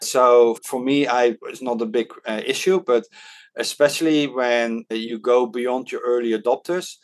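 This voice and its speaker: A man's voice: mid-range voice, steady tone, unhurried. A Dutch man, a startup advisor and podcast guest, talking casually in an interview, with lots of fillers and restarts. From Netherlands. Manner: hesitant, many fillers and restarts. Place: Hong Kong.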